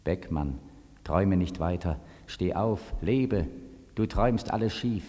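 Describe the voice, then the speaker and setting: A calm inner voice of hope in an old radio drama. It is low, dark and husky, speaking very slowly with soothing, earnest insistence. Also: male voice. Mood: soothing, urging. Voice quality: hoarse, husky. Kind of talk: 1940s radio play acting. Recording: vintage 1940s radio recording.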